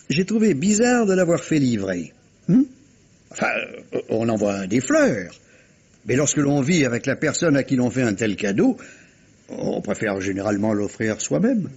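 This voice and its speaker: Wry, husky, animated police inspector. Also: male voice, mid-range voice, full character voice. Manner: measured, theatrical, teasing explanations with rhetorical questions. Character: wry police inspector explaining a murder case. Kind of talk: 1950s French radio mystery acting. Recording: dull, muffled vintage radio recording.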